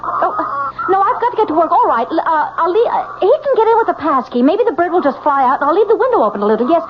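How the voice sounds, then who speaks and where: A woman's voice: extremely high pitch, big pitch swings, fast, noisy background. A woman playing an anxious, hesitant young wife in an old-time radio drama, with an edgy manner. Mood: flustered. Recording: muffled vintage radio recording, music behind.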